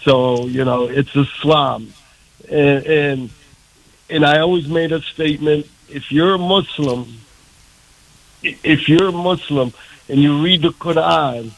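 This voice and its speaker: A man's voice: fairly high pitch, poor audio. Older American man, plain-spoken and earnest. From Michigan, United States. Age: 60s or older. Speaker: radio interview guest. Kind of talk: personal testimony told conversationally.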